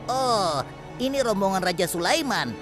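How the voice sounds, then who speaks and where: Guy doing a nosy friendly bird for a kids cartoon, pretty high voice, music behind. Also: lively intonation, moderate pace. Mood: curious, friendly. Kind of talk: Indonesian children's cartoon dubbing.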